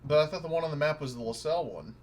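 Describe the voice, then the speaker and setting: A man bantering casually as a tabletop game player, excitable and blunt, with some swearing. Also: on the high side, racing.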